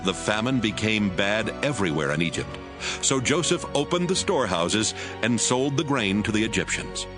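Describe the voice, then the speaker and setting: A man's voice: fairly low pitch, very animated, moderate pace. A man giving scripted narration as the storyteller of a dramatized audio Bible. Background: music.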